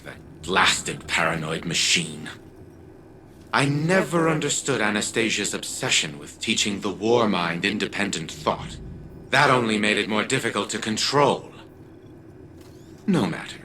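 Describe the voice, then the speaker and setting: An imperious old machine mind from a video game, speaks with a very bright, cutting edge. The voice sits a little low and is formal and disdainful, used to giving orders. There is faint noise behind it. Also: male voice, lively intonation, unhurried. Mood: disdainful, scheming. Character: arrogant, controlling old male artificial intelligence of a scientist. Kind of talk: scripted video game dialogue.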